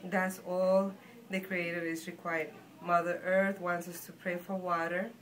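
A woman with a Guatemalan Spanish accent speaks as a spiritual guide and guest. Her pitch is a bit lower than usual. She is earnest and reassuring, feeling her way through her sentences in an informal talk into a phone. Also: fairly bright tone, poor audio. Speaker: shaman and healer, guest. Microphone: phone handed over.

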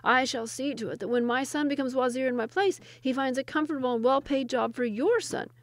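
A woman's voice: sits high, fairly quick, dry recording, pitched above the performer's own voice, lightly acted. A woman voicing a boastful, quarrelsome male royal wazir in a storybook, with a pompous manner and florid insults. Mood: delighted, eager.

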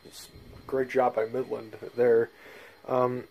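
A man's voice: mid-range voice, monotone, slow pace, a little mellow, slight background noise, low-quality recording. A man casually showing things off in a rambling, off-the-cuff vlog, recorded indoors on a handheld phone. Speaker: hobbyist video presenter. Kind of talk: casual show-and-tell vlog. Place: small bedroom indoors. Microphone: handheld phone camera.

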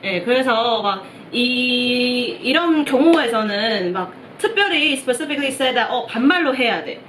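A woman's voice: fairly high pitch, animated, takes it slowly, fairly bright tone, a little hiss or hum. A young woman from Taiwan, a native Mandarin speaker with a Taiwanese accent, chatting in hesitant, word-searching Korean as she reacts to a show. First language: Mandarin Chinese. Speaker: reaction video host. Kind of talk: casual reaction commentary. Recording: roomy echo with show music behind.

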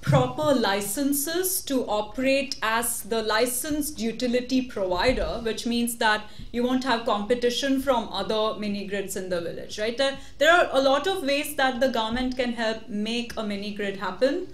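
Higher-voiced woman panelist in a roomy hall. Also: moderate pace, few pauses. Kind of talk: panel answer. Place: large meeting room with audience.

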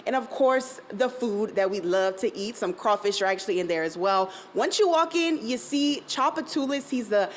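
A woman's voice: mid-range voice, expressive, normal speed, hardly any pauses, a little hiss or hum. A young woman reporting live for TV news from inside an aquarium, chatty and upbeat, speaking into a handheld mic. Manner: bubbly, chatty, laughs often. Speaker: field reporter. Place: indoor aquarium exhibit. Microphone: handheld station microphone.

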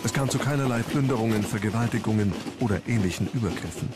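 A man's voice: on the low side, fast talker, heavy background noise. A man reading scripted narration for a documentary. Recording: music under the narration. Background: background music.

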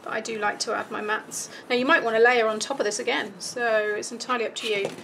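British lady doing a chatty craft how-to, highish voice starting to go, noisy background with little clicks. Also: fairly even intonation, fast, vocal fry, a bit bright. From United Kingdom. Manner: informal, chatty, thinking aloud. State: starting to lose voice. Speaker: craft tutorial host. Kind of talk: casual step-by-step craft tutorial. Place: indoors at a craft table.

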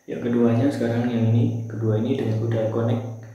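Casting: young male tutorial presenter, fairly flat delivery, mellow tone, heavily reverberant small room. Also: medium pitch, a little fast, muffled recording, slight background noise. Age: young adult. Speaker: shop presenter giving product tutorial. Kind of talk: informal step-by-step product demonstration. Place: small bare room, indoors at a table.

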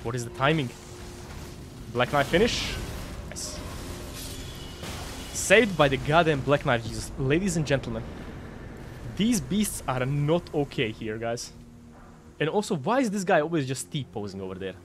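A young man with a Croatian accent, excitedly commenting on his game live, swearing at bosses and chatting with viewers through a headset. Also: medium pitch, a little slow, long pauses, background noise. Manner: excitable, swears and laughs while fighting bosses. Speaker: game streamer. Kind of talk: live gameplay commentary reading chat. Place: home streaming room, indoors.

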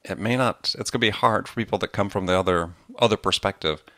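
Guy podcast host, deep voice, casual chat, dry close sound. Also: quick pace, a bit bright, no room sound.

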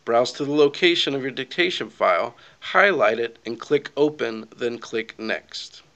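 A man reading scripted instructional narration for a software tutorial, giving clear step-by-step directions. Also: medium pitch.

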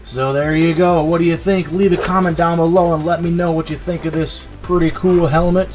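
A man's voice: on the high side, fairly even intonation, a little fast, music in the background. A man hosting a toy review, demonstrating the product in an easygoing, chatty way. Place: indoor tabletop toy video set.

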